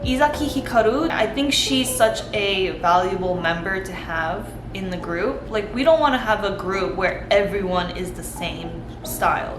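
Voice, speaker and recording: A young woman vlogger chatting casually and enthusiastically, peppering her talk with "like". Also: mid-range voice. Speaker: vlogger reviewing a TV show. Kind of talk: casual chat. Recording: roomy echo with background music. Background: soft background music.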